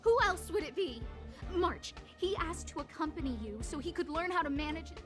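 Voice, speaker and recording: A woman playing an earnest, apologetic young noblewoman in a video game, anxious and dramatic, pleading in halting, emphatic phrases. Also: very high-pitched, expressive, soft background music. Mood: earnest, insistent.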